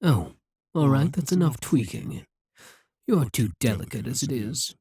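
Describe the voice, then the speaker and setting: A performer voicing a haughty, wry demon ruler in an ASMR roleplay, speaking softly and huskily in a slow, condescending, possessive way. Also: medium pitch, lively intonation, dry recording, clean studio sound, heavily acted. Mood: focused, wary. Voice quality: soft, breathy, husky.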